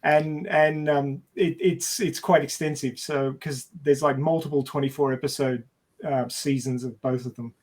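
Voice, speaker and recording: A man chatting casually as a podcast panelist, with a hesitant, halting delivery. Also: medium pitch, steady tone, darkish tone. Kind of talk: casual chat.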